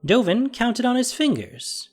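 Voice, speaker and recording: A man narrating a scripted fantasy story in an expressive, dramatic way. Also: extremely high pitch, unhurried, soft background music.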